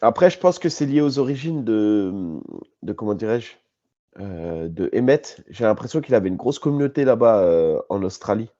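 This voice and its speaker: A French man co-hosting a podcast argues his case with insistence, nasal from a cold. His voice sits warm and mellow. The recording sounds dull and lo-fi. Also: lowish voice, big pitch swings, moderate pace, dry recording. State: has a cold, coughing. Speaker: podcast co-host. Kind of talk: casual podcast debate.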